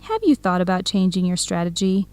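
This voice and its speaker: A woman's voice: lowish voice, very animated, a little fast, a little mellow, no room sound. A woman playing a supportive, frank young best friend in a radio drama, with a direct, encouraging, conversational manner. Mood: concerned. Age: young adult. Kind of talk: scripted radio drama dialogue.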